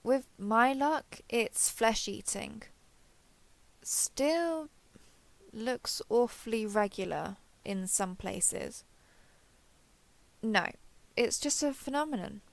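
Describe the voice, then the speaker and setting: Creaky-voiced, wry starship captain played by a woman. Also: a little high, expressive, slow pace, pauses often, no room sound, exaggerated voice. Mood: astonished, doubting. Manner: sardonic, grumbling, casual spoken asides. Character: wry, weary alien spaceship captain, explorer, awed by discovery. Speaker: audio drama performer. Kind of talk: acted internal monologue as captain's log.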